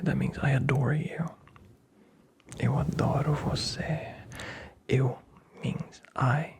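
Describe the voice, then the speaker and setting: A man from Brazil, whispering close to the mic as he teaches phrases in a playful, teasing, deadpan way and repeats each one slowly. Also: mid-range voice, creaky, slightly rough. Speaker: ASMR language teacher. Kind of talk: whispered ASMR language lesson.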